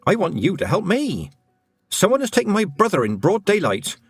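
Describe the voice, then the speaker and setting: A man's voice: sits high, huge pitch swings, moderate pace, breathy edge, no room sound, studio quality, pitched above the performer's own voice, full character voice. A man reading a stern, practical young girl. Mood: firm. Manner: firm, matter-of-fact.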